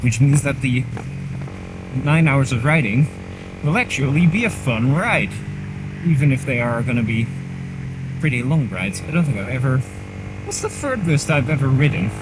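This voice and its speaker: A man talks in a loose, chatty way while riding a motorcycle along a country road, teasing himself now and then. His voice sits in the middle range for a man. A helmet mic picks him up with steady engine and wind noise underneath. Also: mid-range voice, moderate pace, pauses often. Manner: chatty, rambling, self-mocking. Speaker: motorcycle vlogger. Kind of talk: casual running commentary while riding.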